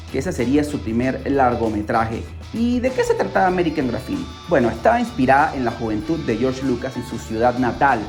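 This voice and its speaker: Podcast host narrating a story, super animated n dramatic, bit of music behind. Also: mid-range voice. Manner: dramatic, excitable delivery with rhetorical questions and exclamations. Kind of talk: scripted storytelling narration for a pop culture podcast.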